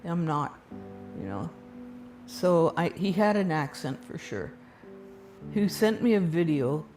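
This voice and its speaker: A woman's voice: deep voice, very animated, takes it slowly. Documentary interviewee, woman, matter-of-fact, faint guitar bed. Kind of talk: recounting a personal story. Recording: clip with soft music underneath.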